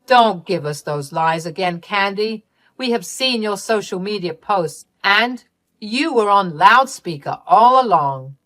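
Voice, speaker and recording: A woman's voice: medium pitch, lively intonation, a little slow, a bit bright, dry recording. A woman narrator puts on a strict father in a family drama story. He sounds betrayed, firm and cold, full of reproach for a grown child.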